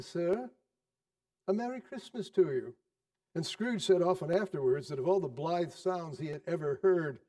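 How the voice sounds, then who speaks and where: High-voiced theatrical male storyteller. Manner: expressive, savouring repetitions and pauses. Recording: distant microphone.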